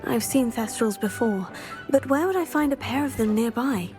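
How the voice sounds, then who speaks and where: An actress playing a kind, composed teenage witch heroine with a proper, measured manner in a video game. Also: a little high, lively intonation, over music, lightly acted. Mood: polite, curious. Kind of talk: video game character dialogue.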